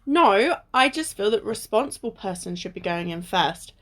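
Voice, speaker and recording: A woman's voice: medium pitch, animated, moderate pace, lightly acted. A woman acting a wary, bossy, teasing young adventurer in an amateur radio play. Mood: matter-of-fact, teasing.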